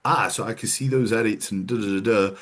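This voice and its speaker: Man, host, slightly low voice, loose sweary storytelling, casual podcast chat. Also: a little fast. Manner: swears freely, animated storytelling with quoted voices.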